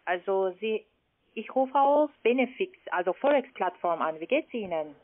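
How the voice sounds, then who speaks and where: A woman's voice: medium pitch, animated, normal speed. A woman with a foreign accent in German, a non-native speaker making a cold sales call. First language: not German. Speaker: call centre caller. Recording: narrowband telephone line.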